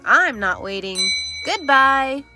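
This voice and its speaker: A woman's voice: high voice, sing-song, takes it slowly, bright, forceful tone, faint music behind, pitched above the performer's own voice, heavily acted. A woman voicing an impatient, demanding little boy frog in a children's storybook, whiny and insistent.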